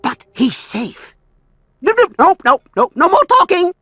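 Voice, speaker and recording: A man playing a nervous, secretive, cautious informant in a video game, with a husky voice and furtive, jittery manner. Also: extremely high pitch, very animated, unhurried, sharp tone, dry recording, full character voice. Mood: panicked. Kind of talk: video game cutscene acting.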